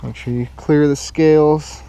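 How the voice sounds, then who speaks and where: A man's voice: a little high, fairly even intonation, measured pace, vocal fry, warm tone, clear, resonant tone, poor audio. An American man casually narrating his solo fishing outing as a vlogger, recorded outdoors on an action camera. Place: outdoors on a lake shore. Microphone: head-mounted action camera.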